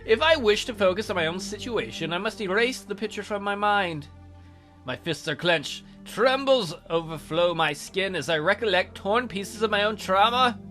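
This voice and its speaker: A man's voice: high voice, animated, moderate pace, bright, forceful tone, faint music behind. A man reading a visual novel aloud theatrically as a let's play host, with casual, jokey asides.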